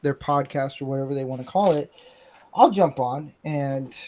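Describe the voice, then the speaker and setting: A man's voice: mid-range voice, moderate pace, dark tone, a little hiss or hum. A man hosting a casual live podcast, talking bluntly and rambling with self-mocking humor. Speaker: podcast host. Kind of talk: casual live-stream chat.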